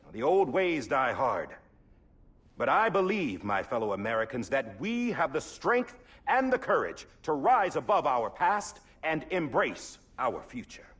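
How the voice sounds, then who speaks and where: A solemn male statesman addresses the nation in a game cutscene. His husky voice moves slowly, with big oratorical rises and falls and measured emphasis. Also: medium pitch, big pitch swings, a bit bright, full character voice. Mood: rousing, solemn. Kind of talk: formal ceremonial political speech, video game cutscene.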